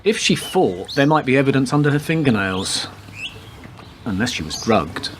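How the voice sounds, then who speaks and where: Man acting as wisecracking detective, laconic, deadpan, macabre wit, mid-pitched, radio drama, audible ambient noise. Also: normal speed, natural voice. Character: wisecracking male police detective with macabre humour. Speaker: actor in a community radio play.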